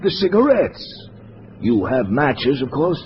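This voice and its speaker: Anxious, haughty courier, animated. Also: male voice, a little high, takes it slowly, exaggerated voice. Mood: urgent, relieved. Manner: formal, indignant, fretful phrasing. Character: anxious, haughty Eastern European communist diplomatic courier, male. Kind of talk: 1950s radio drama acting. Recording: dull, noisy vintage radio recording.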